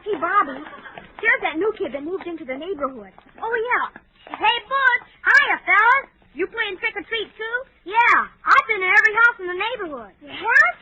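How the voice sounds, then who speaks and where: An actor playing an excitable, eager young child out trick-or-treating in a 1940s radio comedy, boasting in kid slang. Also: very high-pitched, normal speed, exaggerated voice. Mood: excited. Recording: tinny narrow-band old radio recording.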